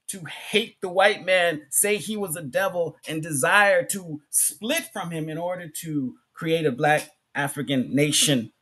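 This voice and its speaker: A man giving an Islamic sermon as a public address, speaking emphatically in short phrases with pauses, repetition and rhetorical questions. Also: on the high side, sharp tone. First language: English. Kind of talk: sermon, public religious lecture.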